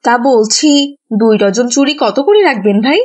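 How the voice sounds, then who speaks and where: Woman as a husky, exasperated village mother. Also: fairly high pitch, very animated, moderate pace, darkish tone. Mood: haggling. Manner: scolding, exasperated. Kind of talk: cartoon voice acting.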